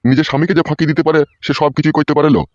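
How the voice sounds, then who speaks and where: Dull-sounding, fast-ranting old village husband played by a man. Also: on the high side, steady tone, darkish tone, no room sound, natural voice. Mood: accusing. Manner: ranting, threatening, coarse. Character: suspicious, hot-tempered, foul-mouthed old balding village husband. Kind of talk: comedy cartoon dialogue.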